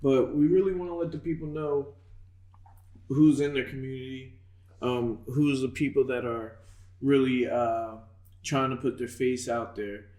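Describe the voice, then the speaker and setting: A man's voice: medium pitch, steady tone, unhurried, darkish tone, reverberant. A man hosting a casual podcast interview as a boxing coach, talking loosely and laughing easily.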